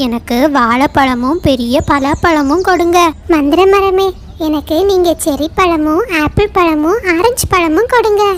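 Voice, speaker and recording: A woman's voice: extremely high pitch, a little slow, slight background noise. A woman voicing a friendly, eager small forest bird in a children's cartoon story.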